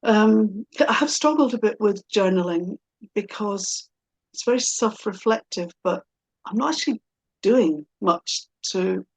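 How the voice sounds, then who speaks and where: A middle-aged woman in a casual, personal conversation. She speaks at a medium pitch and thinks aloud, feeling her way through her sentences with some hesitation. Her manner is thoughtful and self-reflective. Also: measured pace, rough recording.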